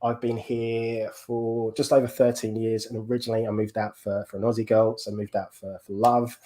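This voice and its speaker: A man's voice: lowish voice, flat delivery, normal speed, mellow. Upbeat man hosting a podcast. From England. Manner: upbeat, enthusiastic, repeats "absolutely". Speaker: podcast host. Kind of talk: casual live-stream chat.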